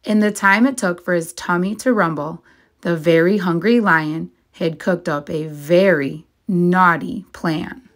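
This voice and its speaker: Woman reading a kids book aloud, lowish voice, really slow, stretches key words. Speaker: storybook reader, teacher. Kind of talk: children's read-aloud narration.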